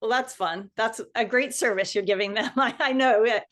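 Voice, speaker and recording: Encouraging woman host with a higher voice. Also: moderate pace, fairly bright tone. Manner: encouraging, laughs easily. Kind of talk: casual interview.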